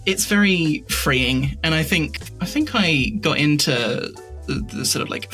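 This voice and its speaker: A woman's voice: a bass voice, a bit bright. A woman giving a casual interview as a podcast guest, candid and wry in her way of talking. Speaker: podcast guest, voice actor and audio drama creator.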